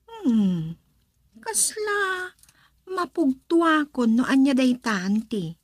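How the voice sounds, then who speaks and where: Sweet young ilocano girl in love, radio drama, high bouncy voice. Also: big pitch swings, very slow, no room sound, studio quality, exaggerated voice. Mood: sincere, smitten. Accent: Filipino, Ilocano. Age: young adult. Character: sweet young woman in love, respectful niece.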